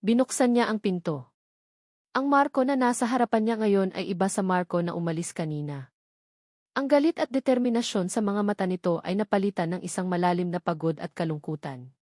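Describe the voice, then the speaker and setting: A woman's voice: medium pitch, a little fast, a little mellow, dry recording, clean studio sound. A woman reading a scripted, dramatic story as its narrator.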